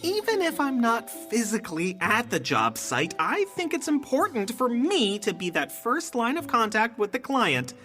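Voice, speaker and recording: Gushing reality-show robot: bright, high, gossipy sci-fi comedy confessional over a music bed. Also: female voice, very animated, moderate pace, hardly any pauses. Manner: effusive, exposition-heavy reality-show confessional. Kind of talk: sci-fi audio comedy acting.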